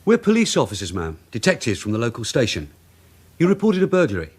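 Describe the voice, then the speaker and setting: A man playing a brisk, authoritative British police detective sergeant in a radio drama, speaking in clipped orders. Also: mid-range voice, huge pitch swings, a little mellow, slightly rough. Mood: formal, businesslike. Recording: noisy background with vehicle sounds.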